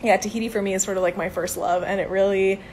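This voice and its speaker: A young woman in her twenties talks casually into a phone held at arm's length outdoors, answering questions on a live stream. Her talk is chatty and upbeat, full of "um" and "like", at a middle pitch. A faint low rumble sits behind her voice. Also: fairly even intonation, quick pace, a little mellow. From Venezuela. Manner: chatty, upbeat, many fillers like "um" and "like". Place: outdoors by a brick building. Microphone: phone camera at arm's length.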